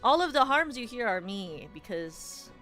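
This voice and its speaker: A woman's voice: fairly high pitch, big pitch swings, unhurried, a bit bright, soft background music. A young adult woman streamer in casual, rambling livestream chat, playfully teasing her viewers.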